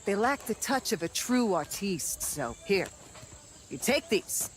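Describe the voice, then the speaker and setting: A woman's voice: medium pitch, big pitch swings, unhurried. Showy bossy lady movie director for a game, gushing, voice all over the place, faint background noise. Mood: pushy, effusive. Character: flamboyant, fast-talking, self-important woman film director. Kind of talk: video game cutscene acting.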